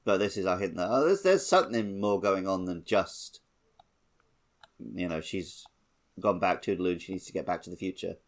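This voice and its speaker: A man's voice: low-pitched, moderate pace. A man co-hosting a podcast, casually talking through a video game. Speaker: podcast host.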